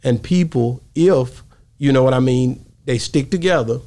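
A man's voice: mid-range voice, measured pace, mellow. A middle-aged man hosting a podcast, talking emphatically and often repeating his words. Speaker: podcast host. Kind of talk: opinionated podcast conversation. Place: small indoor podcast studio. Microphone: close studio microphone.

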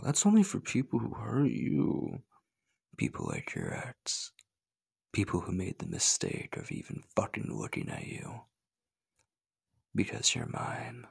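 A man playing an obsessive, possessive stalker lover in a horror ASMR roleplay, halting and breathy, clingy and menacing. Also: fairly low pitch, heavily acted. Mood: possessive, threatening. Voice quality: husky, breathy. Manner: slow, halting, slipping between breathy whispers and urgent pleading. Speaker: voice actor.

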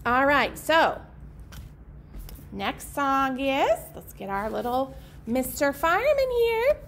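Woman in her thirties, high-pitched, very animated, children's librarian, encouraging, read-aloud style, background noise. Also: slow pace. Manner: encouraging, simple child-directed phrasing. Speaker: children's librarian, storytime host. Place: indoor library program room.

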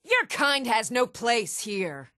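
A woman's voice: fairly high pitch, very animated, takes it slowly, pushed and bright, no echo, heavily acted. A woman playing a stern, challenging Nord townswoman ready for a fight in a fantasy video game. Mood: fierce. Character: fierce, even-tempered Nord townswoman warrior, commoner in a fantasy world. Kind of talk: fantasy video game combat and reaction lines.

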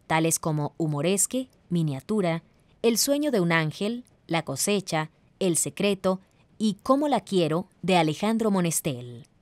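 A woman host giving scripted narration in a measured, formal manner, like a teacher. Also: lowish voice, no room sound.

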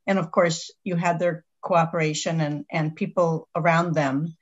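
Lowish woman on a dull connection. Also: steady tone, moderate pace. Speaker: audience member asking a question. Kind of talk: casual spoken question. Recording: dull lo-fi video-call audio.

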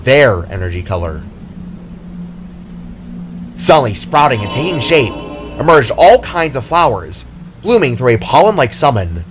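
A man's voice: medium pitch, big pitch swings, measured pace, long pauses, over music. A man reading his fantasy story aloud as a dramatic scripted narration. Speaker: author narrating own story.

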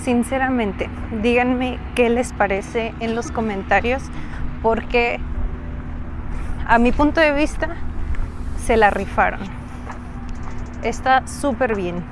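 A woman's voice: fairly high pitch, takes it slowly, long pauses, noisy background. A woman from Mexico giving a casual, slangy walking tour and chatting informally to her viewers. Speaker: travel vlogger and host. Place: mostly outdoors, walking around an accommodation village.

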